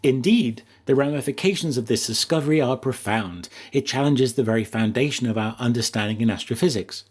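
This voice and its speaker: A man reads as an educated woman astrophysicist, earnest and scholarly. The speech is formal and learned, with a husky edge. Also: medium pitch, a little fast, natural voice. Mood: earnest conviction.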